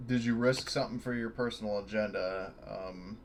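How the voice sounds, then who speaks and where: A man playing a tabletop game, reading out rules hesitantly with many pauses and ums. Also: fairly low pitch, steady tone, slight background noise. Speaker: player. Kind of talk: casual chat at a game table.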